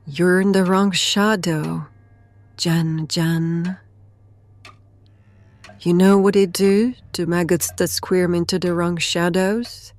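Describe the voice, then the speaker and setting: A woman's voice: lowish voice, fairly even intonation, slow, pauses often, a little mellow. A woman playing a ghostly, deranged mother in a horror audio drama, crooning sweetly and menacingly with eerie whispers.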